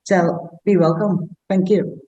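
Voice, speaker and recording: Woman from aruba, low voice, earnest, halting, video call. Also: fairly even intonation, dark tone, dull audio. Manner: earnest, pausing to find words. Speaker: project leader for inclusion. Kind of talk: casual self-introduction.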